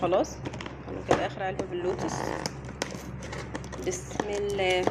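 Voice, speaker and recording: A woman's voice: mid-range voice, little pitch movement, fairly quick, very noisy. A woman with an Egyptian Arabic accent chatting casually while unboxing for a family vlog, sounding a little weary. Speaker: family vlogger.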